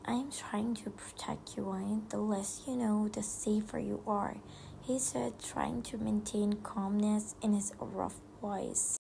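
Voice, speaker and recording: A woman reads a story in a breathy, unvoiced whisper, moving slowly and haltingly through the lines. Her whisper carries a heavy gravelly fry, and faint noise sits behind her. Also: medium pitch, fairly even intonation, mellow, low-quality recording. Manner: slow, halting read-aloud whisper. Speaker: fan fiction reader.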